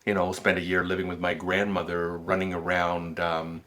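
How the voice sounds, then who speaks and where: A man reminiscing in a relaxed storytelling way during an interview. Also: low-pitched, moderate pace. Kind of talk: interview recollection.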